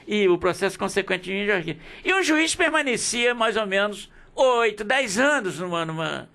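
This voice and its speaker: A man's voice: sits high, expressive, normal speed, gravelly, pushed and bright. A man in his seventies with a Brazilian accent, hosting a radio interview in a conversational, opinionated way. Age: 70s. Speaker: radio host.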